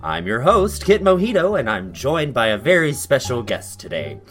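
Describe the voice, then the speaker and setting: A man's voice: medium pitch, very animated, moderate pace, a bit bright, soft background music. A man playing a flamboyant, theatrical and effusive TV commentary host in an audio drama. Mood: gushing, showy. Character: flamboyant, gushing gossipy TV commentary show host. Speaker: talk show host. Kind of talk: acted talk show hosting in audio drama.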